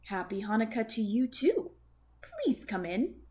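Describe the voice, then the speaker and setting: A woman's voice: on the high side, huge pitch swings, a little slow, darkish tone. A woman voicing a kindly, chatty old woman who fusses over her guest in a children's storybook. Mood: bright, welcoming. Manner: hospitable, fussing, exclaiming. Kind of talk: children's storybook read-aloud.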